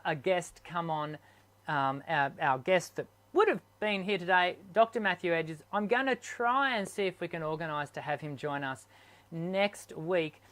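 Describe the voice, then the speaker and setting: A man's voice: high-pitched, pushed and bright. A man who coaches singers, hosting an unscripted live stream Q&A and talking hesitantly with many ums and restarts.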